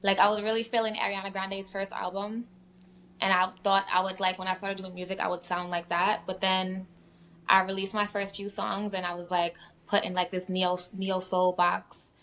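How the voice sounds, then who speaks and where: A woman's voice: medium pitch, moderate pace, a little hiss or hum. A young woman from New York, chatting casually as a guest musician with lots of "um" and "like". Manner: relaxed, many fillers like "um" and "like". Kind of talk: casual interview chat. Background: sirens at times.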